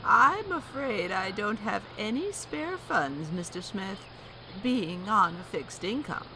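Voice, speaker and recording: A woman playing a polite, wary elderly widow in an audio drama, speaking slowly and carefully. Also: medium pitch, sing-song, background noise. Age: older. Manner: polite, wary, slow and lilting. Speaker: homeowner.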